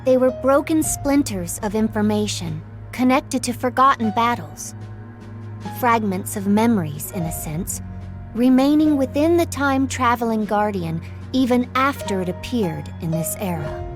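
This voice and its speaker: A woman narrating a fantasy game as a serious, studious researcher in a measured, scripted manner. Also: fairly high pitch, animated. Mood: thoughtful. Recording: faint music behind the voice.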